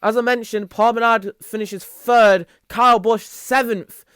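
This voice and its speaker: A man's voice: sits high, steady tone, a little slow, fairly bright tone, clean tone, no room sound, clean studio sound. A man giving motorsport race commentary as the host, with music behind him.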